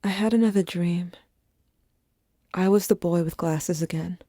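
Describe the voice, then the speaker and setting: A woman playing a weary, somber narrator, telling a first-person story in measured audio drama narration. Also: lowish voice, dark tone, no echo, studio quality. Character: somber, weary narrator recounting haunted memories. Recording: faint ambient noise.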